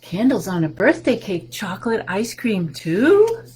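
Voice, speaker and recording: An older woman in her sixties leads a children's storytime over a webcam, talking slowly and gently in a sing-song way. Her pitch sits in a middle range and jumps up with excitement for the kids. Faint room ambience hums behind her. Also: a little hiss or hum. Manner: warm, sing-song and slow, with big excited rises for kids. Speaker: children's librarian. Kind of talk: storytime reading and show-and-tell for children. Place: home room with bookshelves, indoors. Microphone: webcam video call.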